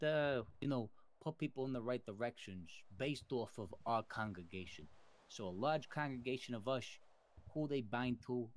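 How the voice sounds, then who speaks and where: A man's voice: mid-range voice, a little mellow, natural voice. A man roleplaying a persuasive mafia boss in an online crime game, talking in rambling, emphatic, sweary wiseguy style. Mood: persuasive, matter-of-fact. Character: smooth-talking male mafia boss planning family business.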